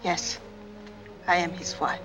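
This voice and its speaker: An actress playing a desperate, captive young wife in a 1940s melodrama film, pleading earnestly. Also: female voice, takes it slowly, a bit bright, faint music behind. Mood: sorrowful, resigned. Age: young adult.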